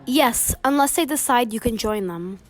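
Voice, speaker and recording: Young woman as a blunt, higher-voiced prisoner. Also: expressive, normal speed, soft background music, lightly acted. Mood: grim. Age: young adult. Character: blunt fellow prisoner, grimly informed.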